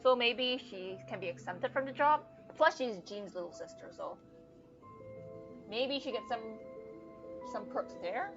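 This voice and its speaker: Woman streamer, highish voice, casual and slangy. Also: expressive, a little slow, pauses often. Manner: informal, slangy, reacts aloud to the game. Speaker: game streamer. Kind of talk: casual live gameplay commentary. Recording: dull lo-fi sound, game music behind. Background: game music.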